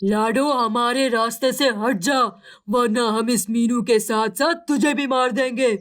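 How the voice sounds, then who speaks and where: Casting: woman as predatory kite bird, cartoon villain, fairly high voice, threatening and boastful. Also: fairly even intonation, unhurried, fairly bright tone. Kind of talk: Hindi cartoon voice acting.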